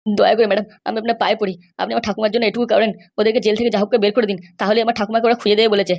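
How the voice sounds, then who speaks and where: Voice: mid-range voice, level tone, creaky, close to an everyday voice. A performer voicing an anxious young woman in a cartoon comedy, pleading and insistent. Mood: begging. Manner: pleading, insistent, halting starts. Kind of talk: amateur cartoon comedy dialogue.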